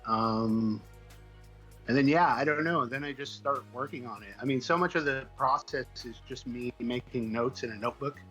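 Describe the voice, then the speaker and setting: A man chatting casually as an interview guest, halting and self-deprecating as he thinks out loud. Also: mid-range voice, soft background music, poor audio. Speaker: guest, comic book writer.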